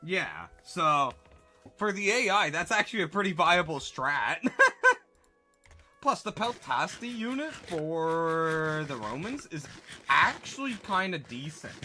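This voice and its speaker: A man's voice: on the high side, pushed and bright. A man chatting casually with his chat while livestreaming a game, answering questions and correcting himself as he goes.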